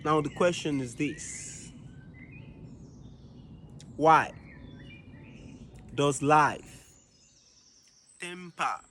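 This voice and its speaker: A man with a slightly high voice delivers a reflective monologue very slowly and solemnly. He leaves long, deliberate pauses between phrases. Birdsong and light outdoor noise sit behind him. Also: a bit bright. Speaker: monologue performer. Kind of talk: dramatic reflective spoken-word monologue.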